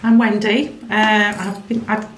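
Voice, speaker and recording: A woman's voice: mid-range voice, little pitch movement, unhurried. A middle-aged woman with a strong Yorkshire accent, a retired careers advisor chatting with friends, jokey and full of restarts. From England. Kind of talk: casual group chat. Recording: echoey room, slightly distant mic, faint hiss.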